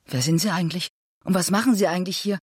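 A woman's voice: fairly low pitch, quick pace, slightly rough, no echo, clean studio sound. An actress playing a woman character in a radio drama. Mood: questioning. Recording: music behind the voice.

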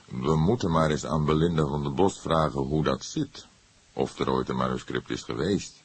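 A man voicing an older grey-haired police detective in an audiobook, calm, wry and disapproving, speaking in an unhurried, lecturing way. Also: extremely low pitch, slightly rough, dry recording, heavily acted. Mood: inquiring. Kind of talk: audiobook character voice.

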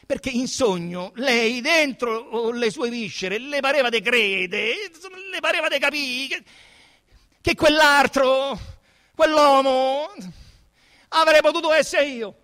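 Man acting agitated, high-voiced young murder suspect, echoing hall. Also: sharp tone, heavily acted. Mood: agitated, halting. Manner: halting, insistent, self-justifying. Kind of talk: theatrical dramatic monologue. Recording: reverberant hall.

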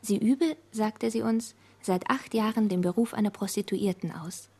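An actress playing a self-assured woman, narrating in reported speech in a literary radio play, with a husky voice. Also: medium pitch, normal speed, darkish tone. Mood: matter-of-fact.

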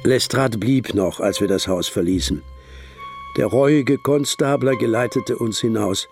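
An actor playing a detective's admiring companion, narrating a radio drama in a measured, literary storytelling manner. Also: male voice, medium pitch, a little mellow, soft background music, close to an everyday voice.

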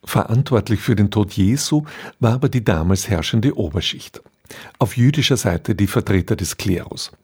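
Low, husky man presenting on radio. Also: animated, a little mellow. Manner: measured, formal, explanatory. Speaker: radio presenter introducing a radio drama. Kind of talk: scripted radio introduction.

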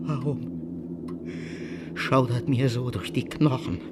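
A man playing a guilt-tormented villainous nobleman losing his mind in a German radio drama, feverish and erratic, moving between hushed trembling and frantic cries. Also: medium pitch, animated, takes it slowly, very noisy. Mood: defiant, fearful. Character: guilt-tormented nobleman villain, terrified, raving, descending into madness. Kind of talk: German radio drama acting, theatrical classical monologue.

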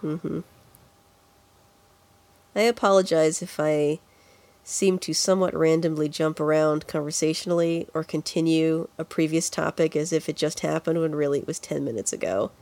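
A woman's voice: low voice, steady tone, moderate pace, darkish tone. A woman with a congested, nasal voice co-hosting a podcast in a casual, chatty style. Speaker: podcast co-host. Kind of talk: casual video game music podcast chat.

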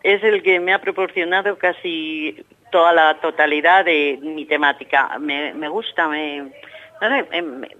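A woman's voice: low-pitched, unhurried. A middle-aged Spanish woman from Castile, a painter talking about her work in a radio interview, hesitant with many fillers and restarts. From Spain, Castile. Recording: muffled phone line.

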